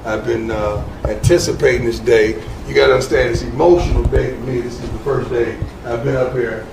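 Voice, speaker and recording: A man's voice: medium pitch, steady tone, brisk, vocal fry. A man speaking as head coach, giving an emotional, earnest speech to his team. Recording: echoey room from far off, background noise.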